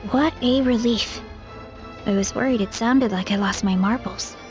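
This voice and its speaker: Actress as an earnest, high-voiced young village woman. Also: moderate pace, natural voice. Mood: relieved. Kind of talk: video game voice acting. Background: soft plucked-string game music.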